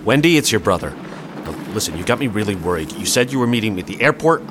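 A man's voice: fairly low pitch, very animated, fast talker, background noise. A man playing a stubborn, sarcastic brother searching for his sister in an audio play. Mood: worried. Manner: sardonic, insistent.

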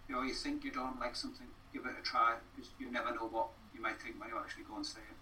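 A man with a very bright, sharp voice gives earnest interview answers. He often repeats phrases for emphasis. The recording is roomy and distant from the mic. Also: mid-range voice, little pitch movement, fast talker, slight background noise. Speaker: podcast guest. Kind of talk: conversational interview.

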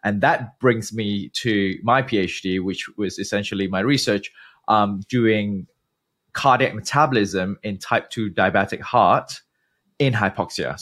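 A man in his thirties from Malaysia, a guest researcher giving measured, explanatory interview answers with frequent pauses. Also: fairly low pitch, a bit bright.

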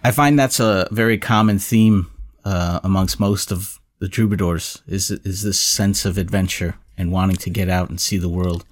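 Interview host, male. Somewhat deep pitch, conversational delivery, chats with guest between questions. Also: fairly even intonation, moderate pace. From the West.

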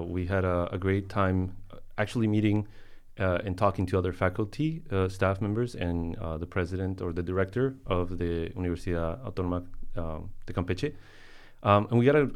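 Male podcast guest, Colombian-born native Spanish speaker, very low pitch, halting conversational delivery. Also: a little mellow, clear, resonant tone. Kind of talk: casual podcast interview talk.

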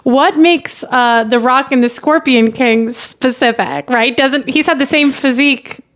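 Female podcast guest, higher voice, blunt, casual comedic delivery. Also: a little slow, a bit bright. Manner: blunt, sweary heckling. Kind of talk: casual comic banter and screenplay reading.

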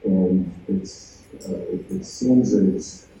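A man who serves as a school board member, making measured, hesitant remarks at a public meeting. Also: deep voice, sing-song, creaky, warm tone. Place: indoor public meeting room. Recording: very echoey meeting room, distant, faint noise.

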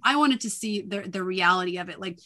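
A woman's voice: mid-range voice, fairly quick, pushed and bright. Chatty middle-aged New England woman. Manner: chatty, laughs often. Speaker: guest. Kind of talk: casual conversation.

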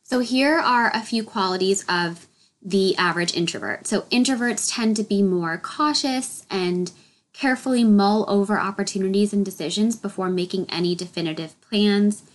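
A woman's voice: mid-range voice, normal speed. Slightly nasal woman podcast host. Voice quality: a little nasal. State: congested with a head cold. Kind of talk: solo podcast talk.

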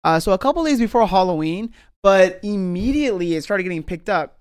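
An excitable, giggly podcast co-host chatting casually about games and often repeating phrases. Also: medium pitch, a little fast, smooth, no room sound, studio quality. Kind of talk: casual gaming podcast chat.

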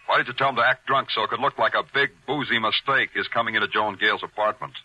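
An actor playing a sardonic police detective in 1940s radio noir, with clipped, wry, needling delivery. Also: male voice, mid-range voice, steady tone, fast talker, sharp tone, muffled recording. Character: hard-boiled, sardonic male police detective. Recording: old, rough-sounding radio drama recording.

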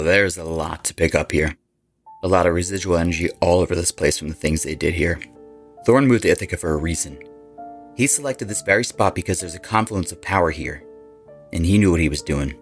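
A calm, occult-minded rock musician, voiced by a man speaks in a low, earnest tone about hidden energies. Faint music plays underneath him.

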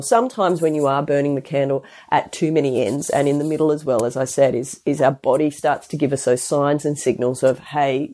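Congested woman, low and mellow. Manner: chatty, rambling with restarts and asides. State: congested with a head cold. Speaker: podcast host and health practitioner. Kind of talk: casual solo podcast talk.

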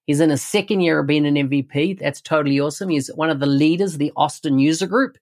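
A man's voice: on the high side, fast talker. Upbeat male podcast host. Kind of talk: podcast interview.

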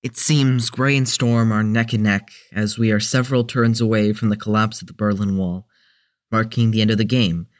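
A man's voice: lowish voice, fairly even intonation, moderate pace, natural voice. A man playing a mild, easygoing and slightly hesitant character in an audio drama. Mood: dry. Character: easygoing, nostalgic man, mild peacemaker of the group.

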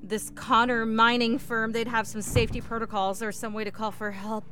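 A woman's voice: fairly high pitch, flat delivery, normal speed, background noise, close to an everyday voice. A woman acting in an audio drama as a resourceful, reassuring hiker who rambles and swears under pressure. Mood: anxious. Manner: naturalistic, hesitant rambling.

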